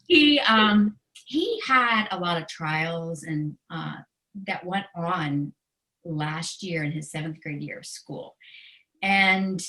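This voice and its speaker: Earnest American woman, slightly low-voiced. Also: lively intonation, slow, bright, forceful tone, low-quality recording. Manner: earnest, emotionally open, conversational. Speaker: guest author and special education educator. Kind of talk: personal anecdote in an interview.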